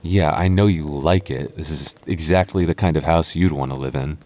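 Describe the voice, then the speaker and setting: A man playing a nervous, affectionate young boyfriend in an intimate roleplay audio drama, speaking softly with hesitant stammers. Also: very deep voice, moderate pace, slight background noise. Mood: teasing, wary. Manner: hesitant, stammering, soft-spoken intimate delivery.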